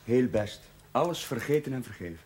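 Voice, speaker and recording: An actor playing a gentle, easygoing village chaplain who speaks mildly. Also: male voice, medium pitch, lively intonation, fairly quick, natural voice. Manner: mild, gentle, chuckling. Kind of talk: 1970s TV drama acting.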